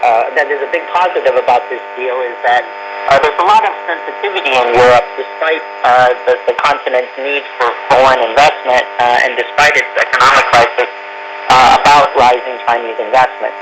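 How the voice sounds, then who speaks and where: A man giving measured, explanatory analysis as a guest commentator in a radio panel discussion. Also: mid-range voice, fairly even intonation. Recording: noisy shortwave radio with mains hum. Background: clear static noise and hum.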